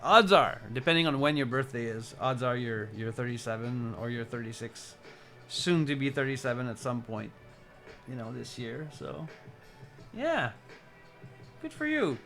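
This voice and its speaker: A man in his forties chatting casually as a live streamer, halting and repeating words as he rambles. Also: medium pitch, lively intonation, fairly bright tone, faint music behind. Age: 40s. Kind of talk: casual chat with stream viewers.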